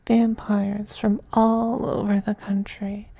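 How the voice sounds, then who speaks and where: A performer voicing a possessive, androgynous male vampire master in an ASMR roleplay, soft, breathy and often whispering, coaxing and condescending. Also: mid-range voice, little pitch movement, dry recording. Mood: silky, anticipating. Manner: slow, purring, coaxing, condescending. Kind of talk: ASMR roleplay, intimate scripted monologue.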